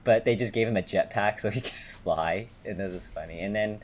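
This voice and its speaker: A man's voice: lowish voice, fairly quick, slight background noise. A man chatting casually about fighting games as a podcast panelist.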